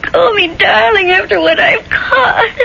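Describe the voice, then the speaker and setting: High-pitched, anguished guilt-ridden wife. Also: female voice, vocal fry, pushed and bright, full character voice. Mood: tearful, overwhelmed. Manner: halting, tearful, stammering. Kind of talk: 1970s radio drama acting. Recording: dull, noisy old radio broadcast recording.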